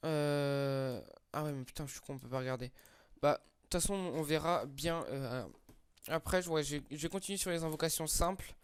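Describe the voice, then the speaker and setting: A man's voice: fairly high pitch, smooth. Congested young man rambling through game summons. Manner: rambling, hesitant, crude slang, many fillers. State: slightly congested from a cold. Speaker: gaming YouTuber. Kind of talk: casual gacha summon commentary.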